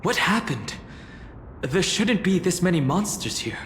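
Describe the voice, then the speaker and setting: A man playing an earnest, calm young hero in a fantasy game, serious and plain-spoken. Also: high voice, unhurried, slight background noise, heavily acted. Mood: serious, concerned. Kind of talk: scripted game dialogue acting. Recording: echoey, loud music underneath.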